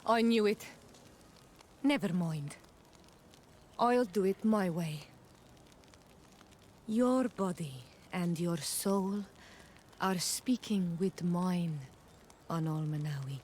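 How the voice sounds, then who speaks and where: An actress playing an earnest, gentle young island tribeswoman in a video game, speaking in measured, formal phrases. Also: lowish voice, a little hiss or hum. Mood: earnest, forthright. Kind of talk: video game romance dialogue acting.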